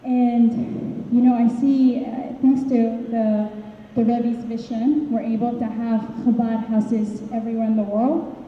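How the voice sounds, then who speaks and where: A woman's voice: fairly high pitch, level tone, a little slow. A woman from Peru, a departing Hebrew school teacher, giving an emotional farewell speech with many hesitant restarts. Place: hall. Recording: echoey hall, tinny phone-like sound, faint hiss.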